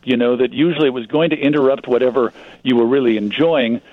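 A man giving informative, measured answers as a guest in a radio interview. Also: mid-range voice, fairly even intonation, narrow, tinny audio, a little hiss or hum. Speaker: magazine publisher, radio history guest.

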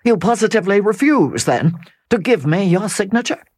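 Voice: medium pitch, animated, normal speed, darkish tone, dry recording, clean studio sound, pitched above the performer's own voice, close to an everyday voice. A reader voicing a sulky, hot-tempered aristocratic husband who speaks in an irritable, blustering way. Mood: demanding.

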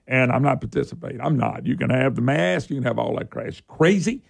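Gravelly hoarse older talk-radio host. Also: male voice, medium pitch, a little fast. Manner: folksy, animated, rambling. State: hoarse, recovering from a sore throat. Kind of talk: casual opinionated talk radio.

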